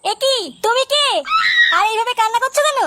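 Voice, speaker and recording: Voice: very high-pitched, steady tone, a little fast, noisy background. A voice actor playing an alarmed, dramatic middle-aged village mother in a comedy cartoon, exclaiming. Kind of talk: melodramatic cartoon dubbing.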